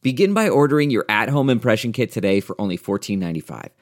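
A man reading a scripted ad in a smooth, upbeat way. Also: fairly low pitch, big pitch swings, fast talker. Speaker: ad announcer. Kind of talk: scripted ad read.

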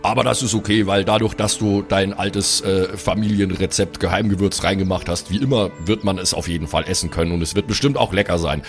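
A man plays a dutiful dwarf son in an improvised fantasy audio play. The voice is on the low side, affectionate and placating. Faint music runs behind it. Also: fairly even intonation, fairly quick, few pauses. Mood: appreciative.

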